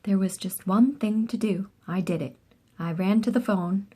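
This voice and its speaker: A woman reading a children's book aloud in an expressive, animated storytelling style with short asides. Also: medium pitch, normal speed, slightly rough. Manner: animated, acts out dialogue.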